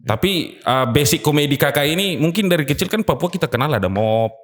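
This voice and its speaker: Guy co-hosting a chat show, swings his pitch a lot, echoey room. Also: a little fast. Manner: lively, prompting questions. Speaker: podcast co-host. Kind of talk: casual podcast chat.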